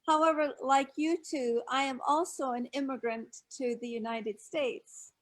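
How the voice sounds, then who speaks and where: Older woman from Scotland, high-pitched, reflective, measured interview guest. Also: steady tone. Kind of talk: casual interview chat.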